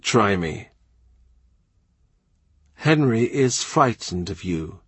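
A man's voice: medium pitch, lively intonation, measured pace, slightly rough, no echo. A man voicing a bold young woman who talks in a confiding, teasing way, in a storybook reading.

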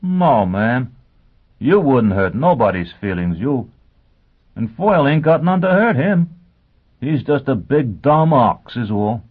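A man's voice: mid-range voice, sing-song, takes it slowly, slightly rough, pitched above the performer's own voice, heavily acted. A man voicing a little army sergeant, folksy and blunt, with chummy, uneducated, plain-spoken talk. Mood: chatty, dismissive. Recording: thin, phone-like band-limited sound.

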